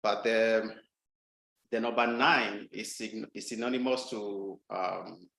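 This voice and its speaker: A man's voice: fairly low pitch, fairly even intonation, slow pace, vocal fry, a bit bright, roomy sound. A man from Ghana giving a guest talk over a video call, speaking hesitantly with many ums and restarts. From Ghana, Volta region. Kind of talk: casual online talk.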